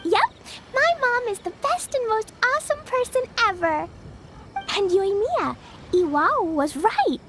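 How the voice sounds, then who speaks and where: An actress voicing a sweet, innocent, excitable little girl in a video game, childlike and earnest. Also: very high-pitched, measured pace, slight background noise, heavily acted. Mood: earnest, delighted. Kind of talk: English video game voice acting.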